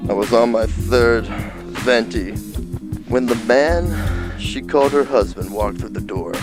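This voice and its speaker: A man playing a seedy, lustful occult detective, narrating a comic noir radio play in a deadpan, halting way. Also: mid-range voice, close to an everyday voice. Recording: music behind the voice.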